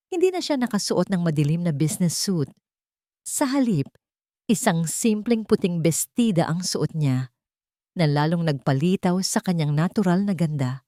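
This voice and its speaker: A woman with a Filipino accent narrating a scripted dramatic story in an expressive, measured way. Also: low voice, no echo, studio quality. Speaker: audiobook narrator.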